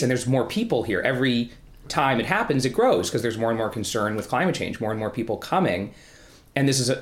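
A man speaking as a journalist on a discussion panel, explaining things off the cuff in winding, hesitant sentences. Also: medium pitch. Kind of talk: unscripted analytical discussion. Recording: echoey, roomy sound.

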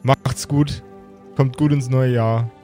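A man's voice: mid-range voice, steady tone, takes it slowly, mellow, faint music behind. A man hosting a podcast speaks personally and emphatically to his listeners, repeating words for weight. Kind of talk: heartfelt personal sign-off to listeners.

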